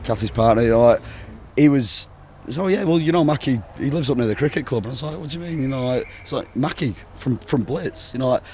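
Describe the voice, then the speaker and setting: A man's voice: medium pitch, lively intonation, fast, warm tone. A man in his twenties with a Northern English accent, chatting casually in an interview in a rambling, sweary way. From England. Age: 20s. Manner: sweary, rambling, peppered with "you know, like". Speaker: band member being interviewed. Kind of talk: casual chat. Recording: outdoor recording with urban ambient noise.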